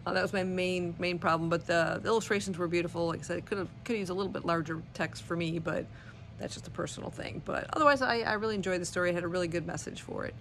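A middle-aged woman chatting casually about books in a vlog, halting as she thinks aloud. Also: fairly low pitch, a little hiss or hum. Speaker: book vlogger. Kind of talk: casual book review chat. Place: small wood-paneled living room indoors. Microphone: phone or camera at arm's length.

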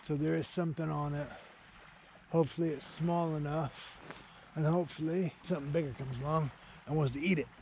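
A man's voice: a little high, steady tone, a little fast, a little mellow. A man in his forties giving casual, enthusiastic running commentary to camera outdoors as he fishes, in an informal, matey way of talking. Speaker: angling vlogger. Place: outdoors at night on a beach by a small shelter. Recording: clearly noisy outdoor location sound.

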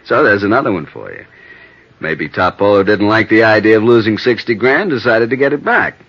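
A very low-pitched American police sergeant, lays things out in dry, clipped fashion. He sounds weary and wry, in classic 1950s radio drama style on a dull old recording. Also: lively intonation, brisk, a bit bright, breathy edge, heavily acted. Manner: matter-of-fact, dry, clipped reporting.

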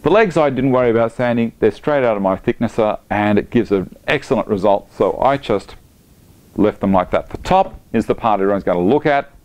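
A man's voice: fairly low pitch, animated, brisk, darkish tone. A middle-aged man, chatty and enthusiastic, explaining a woodworking project step by step in his home workshop. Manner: chatty, enthusiastic, talks while working. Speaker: woodworking instructor.

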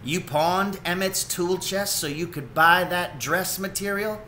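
A man's voice: high voice, a little slow, bright, forceful tone, a little hiss or hum, pitched above the performer's own voice. A man voicing a skeptical, folksy female animal friend from a storybook. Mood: skeptical, concerned. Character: doubtful female friend of otter mother. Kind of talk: storybook character reading.

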